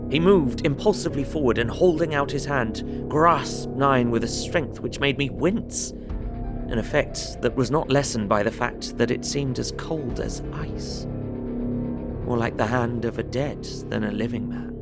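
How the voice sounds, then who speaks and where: Guy playing a young gentleman reading his diary, earnest n measured, medium pitch, music playing behind. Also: close to an everyday voice. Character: young English solicitor recounting his journey, earnest, observant. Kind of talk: dramatized diary narration. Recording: prominent music under voice.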